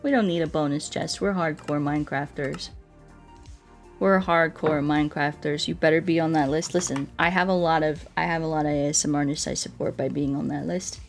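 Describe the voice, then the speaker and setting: A woman's voice: low-pitched, fairly even intonation, a little fast, faint music behind. A woman doing casual, playful game livestream commentary, chatting to viewers and often repeating her words and phrases.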